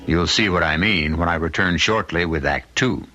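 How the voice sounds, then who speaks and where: A man's voice: low voice, moderate pace. A man hosting and narrating an old-time radio mystery in a theatrical, portentous manner. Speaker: host and narrator. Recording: muffled old radio recording, loud music behind.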